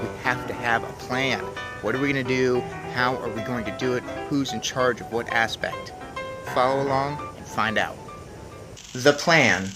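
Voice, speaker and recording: A woman reading a story aloud as narrator, expressive, with casual asides to the listeners. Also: a bass voice, moderate pace, loud music behind the voice, rough recording. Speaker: story reader.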